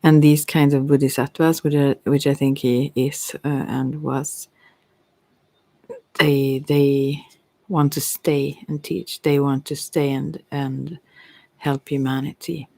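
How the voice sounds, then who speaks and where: Casting: middle-aged Swedish woman, soft-spoken ASMR ramble, gravelly fry, very dry close recording. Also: very deep voice, level tone, takes it slowly, dry recording. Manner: rambling, trailing off mid-thought. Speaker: ASMR creator and tarot reader. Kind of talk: unscripted reflective ASMR monologue.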